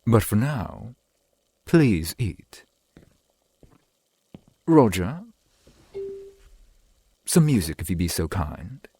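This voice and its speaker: A man acts out a centuries-old vampire boyfriend for an ASMR roleplay, doting and formal. His low voice is husky and breathy, with unhurried, lilting phrases that swing widely in pitch. He speaks with old-world courtesy. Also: very animated, exaggerated voice. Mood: fond, gently teasing. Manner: formal, archaic, gently attentive phrasing.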